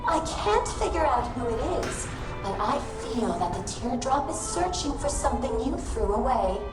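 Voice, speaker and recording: High-pitched, coquettish, possessive robot woman. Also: sing-song, normal speed. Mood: sweet, possessive. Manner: coquettish, cooing with endearments. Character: lovesick possessive female robot, coquettish and unstable. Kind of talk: video game voice acting. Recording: echoey voice under loud game music.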